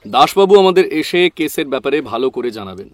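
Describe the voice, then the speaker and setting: A man's voice: mid-range voice, sing-song, fairly quick, no echo, natural voice. A man playing a genial, self-assured, lightly teasing private detective in an audio drama. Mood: amused, reassuring. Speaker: actor in an audio detective story. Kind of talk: Bengali audio drama acting.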